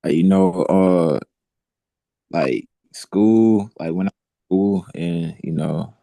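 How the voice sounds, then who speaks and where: A young man with a very dark, mellow, low voice, speaking slowly and hesitantly. The sound is dry, through a call mic. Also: no room sound, low-quality recording. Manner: hesitant, filler-heavy. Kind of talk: casual video call chat. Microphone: video call.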